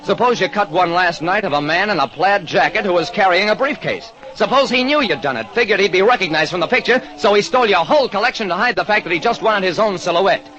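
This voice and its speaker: An actor playing a terse American private detective, narrating an old radio crime drama. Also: male voice, high-pitched, lively intonation, fast talker, few pauses, pushed and bright. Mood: probing. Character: wry, quick-thinking American private detective. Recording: dull vintage radio recording, music behind.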